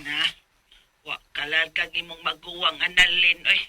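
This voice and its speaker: A woman's voice: fairly low pitch, level tone, moderate pace, music in the background, lightly acted. An actress playing a placating, resigned mother of grown daughters in a radio drama. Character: middle-aged or older mother, placating and resigned. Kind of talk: Filipino radio drama acting.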